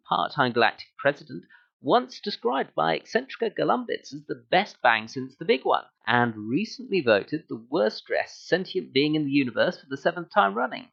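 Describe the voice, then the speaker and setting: A man's voice: fairly high pitch, gravelly, a bit bright, lo-fi sound, full character voice. A man playing a brash, upbeat galactic radio newsreader in an amateur audio drama, with punchy announcer patter. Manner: showy, punchy announcer delivery.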